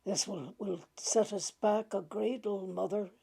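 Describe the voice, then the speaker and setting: A woman voicing a mild, cautious grown farmer son, gentle and guarded, in a story reading. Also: mid-range voice, steady tone, unhurried, gravelly, breathy edge.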